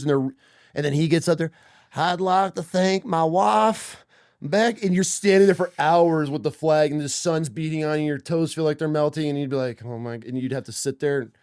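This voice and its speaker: A man's voice: on the high side, moderate pace, a little mellow, smooth, no room sound, clean studio sound. A man hosting a podcast, chatting casually in a blunt, profane, slangy way like a military veteran. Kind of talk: casual chat, war-story banter. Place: close-miked dry studio.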